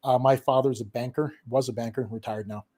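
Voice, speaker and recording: A middle-aged man from a Filipino family, a digital marketing consultant, talking in an animated, emphatic way on a live business stream, tagging his points with "okay?" and "right?" and laughing often. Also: mid-range voice, a little fast.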